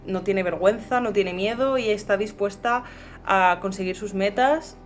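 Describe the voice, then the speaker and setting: A woman's voice: mid-range voice, moderate pace, soft background music. A woman with a Castilian accent from Spain, talking casually as a voice actor being interviewed. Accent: Spanish (Spain). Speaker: amateur voice actor.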